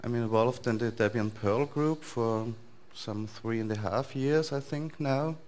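A man in his thirties with an Austrian accent, giving a relaxed, loosely structured talk to a conference audience in a large lecture hall. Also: mid-range voice, a little slow, slight background noise. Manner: relaxed, thinking aloud with frequent fillers and restarts. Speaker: conference speaker.

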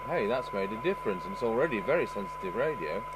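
Man with lively pitch, noisy hum. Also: fast talker. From United Kingdom. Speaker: host, radio repair technician. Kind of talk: hands-on repair commentary. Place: indoor electronics workbench. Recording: clear background noise with electrical hum. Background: steady hum and noise.

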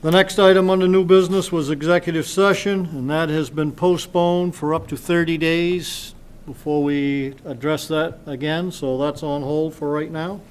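Guy chairing a town council, brisk agenda reading, smooth fairly high voice, desk mic. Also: steady tone. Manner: brisk, procedural, reads agenda items. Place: council chamber.

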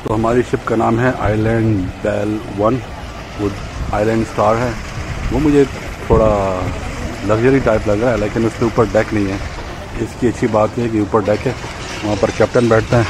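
A man's voice: on the low side, level tone, normal speed. Chatty man vlogging outdoors, noisy. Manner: chatty, pointing things out to viewers. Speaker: travel vlogger. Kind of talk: casual travel vlog narration. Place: outdoors at a harbor and on a tour boat deck.

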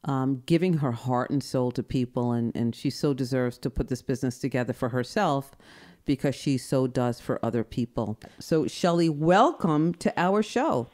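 A woman's voice: very deep voice, moderate pace, a little mellow. A woman hosting a podcast in a studio, chatting in an effusive, upbeat way. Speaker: podcast host. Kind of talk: casual chat.

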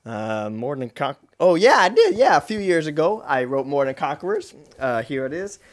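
A man's voice: on the high side, very animated, normal speed. A young man giving casual, somewhat hesitant interview answers as an author. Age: young adult. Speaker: author interviewed about projects. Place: wooden barn or shed. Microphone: boom mic held near.

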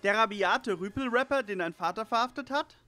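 A man's voice: high voice, fairly quick, bright, forceful tone, soft background music. A man playing a smug, know-it-all boy detective in a parody mystery audio play.

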